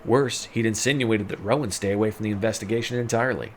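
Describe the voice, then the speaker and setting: Male storyteller, lowish pitch, scripted story narration, faint background ambience. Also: fairly even intonation, quick pace, slight background noise.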